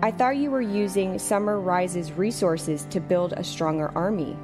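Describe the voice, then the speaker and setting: A woman's voice: fairly low pitch, normal speed, music in the background, lightly acted. A woman playing a sensible, exasperated queen in a comedic audio drama, questioning sternly in formal phrases. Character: exasperated, sensible queen scolding her foolish husband.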